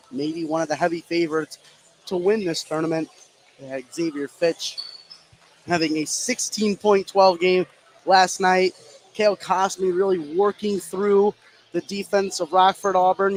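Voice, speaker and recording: A man's voice: high-pitched, rough recording. A man giving colour commentary and analysis on a basketball radio broadcast. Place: indoor high school gym. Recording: light gym noise behind. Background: faint gym ambience.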